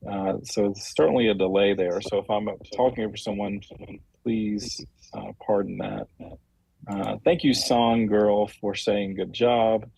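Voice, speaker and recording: A man hosting a livestream, talking off the cuff and reading out viewer comments in a halting, apologetic way. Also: lowish voice, fairly even intonation, vocal fry, a little mellow, breathy edge, rough recording. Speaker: livestream host, comic creator.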